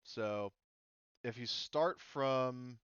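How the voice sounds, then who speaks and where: A man's voice: medium pitch, very slow, fairly bright tone, clean tone, dry recording, muffled recording. A man acting as game master, talking casually and swearing freely to players in a tabletop roleplaying session. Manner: casual, profane.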